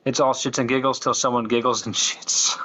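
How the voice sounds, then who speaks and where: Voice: mid-range voice, bright, forceful tone, rough recording. A live stream host, laid-back and joking, asking viewers for follows and likes. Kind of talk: casual live stream hosting.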